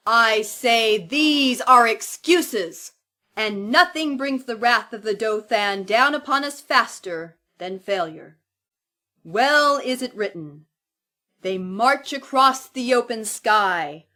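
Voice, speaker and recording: Stern female cleric, played by an actress, high bright voice, slow solemn declamation for dystopian audio drama. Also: exaggerated voice. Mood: fervent, foreboding.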